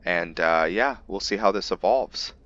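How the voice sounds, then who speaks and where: A man's voice: deep voice, unhurried, fairly bright tone, no echo, dull audio. A man playing a roleplay game and thinking aloud, hesitant, with fillers. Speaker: gamer roleplaying his character. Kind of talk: casual gameplay roleplay commentary.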